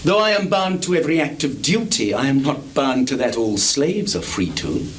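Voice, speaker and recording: An actor playing a scheming, falsely humble soldier in a live Shakespeare reading, speaking deliberately with theatrical projection and dramatic pauses. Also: male voice, medium pitch, very animated, natural voice. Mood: feigned reluctance. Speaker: stage actor. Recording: noisy, hissy 1980s live theatre recording.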